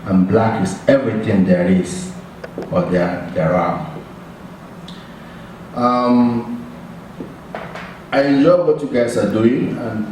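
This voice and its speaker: Slow-spoken man asking from the audience. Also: medium pitch, a little mellow. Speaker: audience member asking a question. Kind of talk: audience question. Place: large assembly hall. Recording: echoey hall from far off, faint noise.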